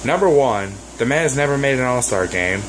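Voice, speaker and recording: A man with a hoarse voice, ranting about sports in a casual vlog, rambling and exasperated with lots of fillers. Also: medium pitch, animated, a little fast, noisy background. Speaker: sports vlogger.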